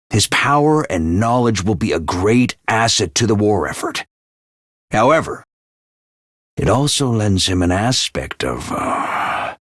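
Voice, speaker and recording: A man's voice: fairly high pitch, fairly bright tone, no echo, studio quality. A man voicing a zealous academy commander who rallies his troops with theatrical cheer, in a video game. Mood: cold scheming. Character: zealous male military academy announcer, rousing. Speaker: academy commander making daily announcements. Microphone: close studio mic.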